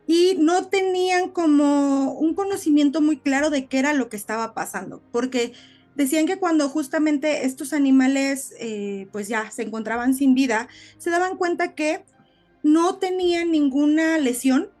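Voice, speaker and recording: Female podcast co-host, Mexican accent, high voice, chatty storyteller, light music underneath. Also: moderate pace, darkish tone. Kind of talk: casual conversational storytelling.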